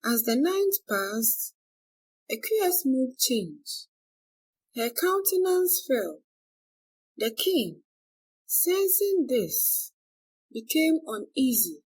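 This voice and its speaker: A woman narrating a folktale from a script in a deliberate, expressive storytelling manner. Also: high voice, slightly rough, rough recording.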